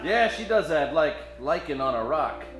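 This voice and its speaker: A man's voice: a little high, lively intonation, normal speed, reverberant, over music, close to an everyday voice. A man playing a wisecracking, hard-drinking private detective with joking hard-boiled patter in a live comedic radio play. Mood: wry.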